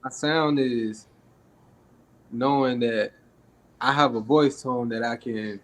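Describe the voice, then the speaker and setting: A man's voice: medium pitch, slow pace, fairly bright tone. A young man from Atlanta, a rapper talking casually in an interview and projecting his voice. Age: young adult. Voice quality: raspy. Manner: projecting his voice, laid-back with frequent fillers. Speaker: rapper guest.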